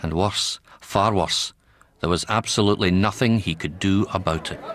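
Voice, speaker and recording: A man playing a grave, worldly-wise senior Scottish doctor who also narrates a radio drama, measured and wry. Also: sits low, a bit bright, a faint crowd.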